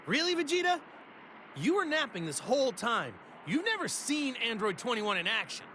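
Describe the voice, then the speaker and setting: A man's voice: very high voice, moderate pace, a little hiss or hum, heavily acted. A man playing a small, spirited fighter in an anime video game, cheeky and chiding as he keeps the peace. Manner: chiding, lively.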